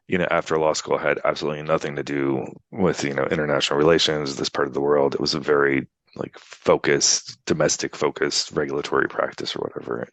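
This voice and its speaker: American man in his fifties, very low voice, chatty and meandering, interview guest on a video call, dry close audio. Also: a bit bright, dry recording. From United States. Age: 50s. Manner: rambling, filler-heavy, frequent "you know" and "right?". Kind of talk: casual storytelling interview. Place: Poland, remote interview.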